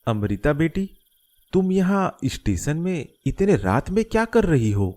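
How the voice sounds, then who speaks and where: A man voicing a caring middle-aged father in a moral-story cartoon, gentle and explanatory as he reassures. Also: on the high side, big pitch swings, a little slow. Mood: concerned surprise.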